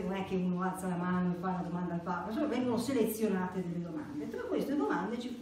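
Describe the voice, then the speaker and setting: A woman's voice: mid-range voice, darkish tone. A middle-aged woman giving an explanatory religious lecture, conversational and emphatic with wry asides, partly reading from notes. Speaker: conference speaker. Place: indoor church meeting room. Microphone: stand microphone. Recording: very echoey room, distant, faint hiss.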